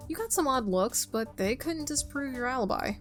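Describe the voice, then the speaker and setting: A woman's voice: high-pitched, lively intonation, normal speed. Woman chatting through a game over music. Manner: chatty, self-talking, playful. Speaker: gaming video host. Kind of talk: casual playthrough commentary, reading game text aloud. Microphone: close microphone without pop filter. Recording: music behind the voice.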